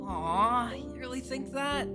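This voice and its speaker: A woman voicing a gentle, anxious teenage boy in an audio drama, earnest and expressive. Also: high voice, slow, over loud music. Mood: touched.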